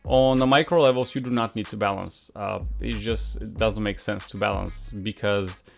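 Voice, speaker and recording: A man's voice: fairly low pitch, fairly even intonation, normal speed, creaky. Blunt Bulgarian man with faint music. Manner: frank, many "uh" fillers, blunt asides. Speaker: poker coach. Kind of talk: casual Q&A monologue.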